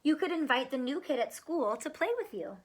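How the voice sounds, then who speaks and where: A woman's voice: high voice, expressive, a little fast. A woman teacher reading a picture book aloud to children, adding encouraging asides and questions.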